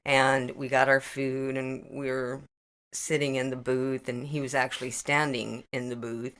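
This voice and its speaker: A woman's voice: rumbling low, flat delivery, measured pace, a bit bright. A middle-aged woman chatting casually as a guest on a podcast. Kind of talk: casual chat.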